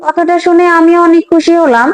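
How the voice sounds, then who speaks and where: A woman's voice: very high voice, brisk. A woman voicing a crafty, nagging elder daughter-in-law in a fairy-tale cartoon. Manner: nagging, assertive. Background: background music.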